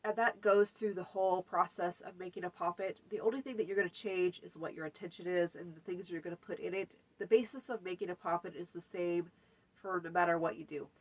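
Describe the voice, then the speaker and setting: A woman's voice: on the low side, steady tone, fairly quick. Stuffy-nosed woman chatting through a tutorial. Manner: chatty, many fillers, lists items. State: stuffy, runny nose. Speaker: folk magic channel host. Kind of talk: casual spoken tutorial.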